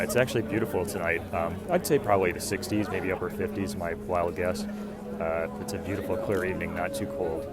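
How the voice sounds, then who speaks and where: A man hosting a live stream outdoors at a theme park event at night, reading and answering viewer comments in a scratchy, stuffy voice. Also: deep voice. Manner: friendly, answers questions with fillers.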